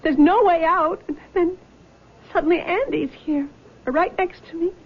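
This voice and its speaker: An actress playing a frightened, guilt-ridden young nurse in a radio drama, speaking in a shaky, halting, tearful way. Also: female voice, very high-pitched. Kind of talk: 1970s radio drama acting. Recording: dull old radio broadcast.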